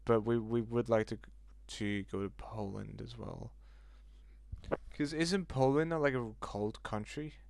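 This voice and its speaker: A man's voice: mid-range voice, a little slow, gravelly, darkish tone, no room sound. A man casually chatting with viewers on a livestream, reacting aloud to messages and swearing now and then.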